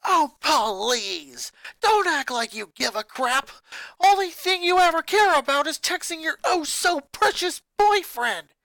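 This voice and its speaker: A woman voicing an angry, resentful young boy who rants and shouts at his family. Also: high-pitched, expressive, measured pace, dry recording, pitched above the performer's own voice, exaggerated voice, low-quality recording. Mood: furious. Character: furious boy, eleven-year-old, bitter and resentful.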